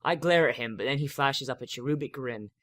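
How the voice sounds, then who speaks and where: A man's voice: medium pitch, fairly quick, fairly bright tone, no echo. Man narrating a story, dry close sound. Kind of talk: dramatic reading of first-person fiction.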